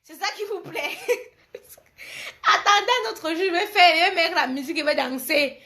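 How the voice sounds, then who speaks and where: A woman's voice: extremely high pitch, normal speed, bright, forceful tone. A woman hosting a live stream, chatting casually and familiarly with her viewers and often repeating her words.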